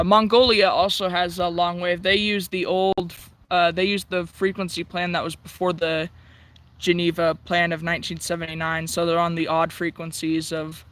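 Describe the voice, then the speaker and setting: An American man giving a casual hobbyist demonstration, thinking aloud in a halting way, outdoors. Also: high voice, little pitch movement, a bit bright. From United States. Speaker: radio hobbyist presenting. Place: outdoors in the sun.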